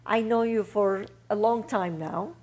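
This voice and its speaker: A woman's voice: medium pitch, unhurried, darkish tone. A woman hosting an interview show, introducing and questioning her guest in an encouraging way, with frequent fillers. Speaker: talk show host. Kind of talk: interview host.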